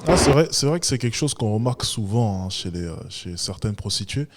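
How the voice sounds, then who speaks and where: A man's voice: fairly low pitch, lively intonation, fairly quick, very noisy. Laid-back male streamer chatting. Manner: laid-back, thanks donors, chuckles while choosing his words. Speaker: streamer reacting to a documentary. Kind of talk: casual live-stream chat.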